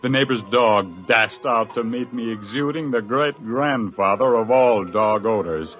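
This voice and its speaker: An actor in an old radio drama playing a hard-pressed, take-charge scientist, speaking with dramatic force. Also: male voice, on the low side, unhurried, a bit bright, faint music behind. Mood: grim narration. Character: hard-pressed, take-charge male scientist narrating his own ordeal.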